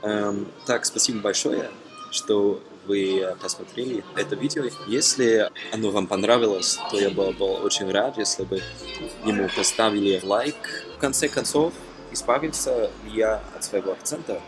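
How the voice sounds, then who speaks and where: Young guy, low voice, stops and restarts a lot, music playing behind him. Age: young adult. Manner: halting, frequent fillers and self-corrections, non-native speaker. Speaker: language-learning vlogger. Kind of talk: casual talk to camera. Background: background music.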